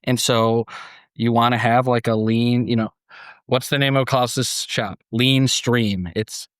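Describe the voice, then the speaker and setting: A man's voice: medium pitch, fairly even intonation, takes it slowly, fairly bright tone, clear, resonant tone, dry recording, studio quality. A man with an American accent hosting a podcast in a chatty, jokey, casual way. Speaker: podcast host.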